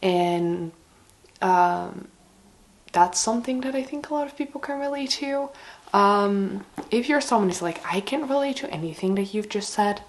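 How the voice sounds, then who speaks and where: Female book vlogger, hoarse and raspy with breathy breaks, medium pitch, informal and halting review style. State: slightly hoarse with laryngitis. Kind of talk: casual chatty book review to camera.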